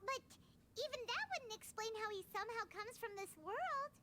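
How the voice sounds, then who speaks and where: A woman voicing a tiny female fairy companion in a video game, childlike and squeaky, excitable, naive and inquisitive. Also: normal speed, very noisy. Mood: baffled. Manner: squeaky, exclamatory, childlike, refers to self in third person. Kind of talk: cartoonish video game voice acting.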